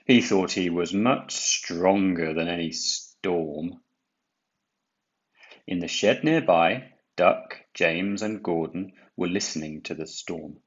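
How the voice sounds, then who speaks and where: A man reading a children's storybook aloud, with deliberate, dramatic emphasis. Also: sits low, highs cut off. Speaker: storybook reader. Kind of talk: expressive children's read-aloud narration.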